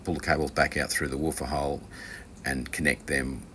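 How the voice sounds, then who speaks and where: Really deep voiced guy doing a casual how-to, kinda rambly and dry, bright edge, bit of background noise. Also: level tone, brisk. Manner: hesitant, rambling delivery with dry, offhand asides. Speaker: instructor from a loudspeaker kit maker. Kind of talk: informal hands-on assembly tutorial, unscripted.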